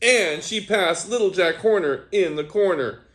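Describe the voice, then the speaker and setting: A man hosting a children's read-aloud, reading a picture book aloud as an animated storyteller who chats to young listeners between pages. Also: sits high, a little slow.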